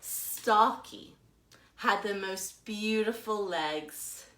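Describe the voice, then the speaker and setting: A bright-voiced American woman tells a story for preschoolers in a roomy, echoing space. Her pace is slow and deliberate, and she leans on words for dramatic emphasis as a children's librarian would. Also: mid-range voice. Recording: roomy echo.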